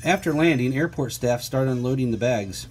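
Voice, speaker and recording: A man's voice: medium pitch, moderate pace, darkish tone, faint music behind, low-quality recording. Man reading a picture book to children. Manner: prompts listeners with questions and asides. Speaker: teacher reading aloud to children. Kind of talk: children's picture book read-aloud with conversational asides.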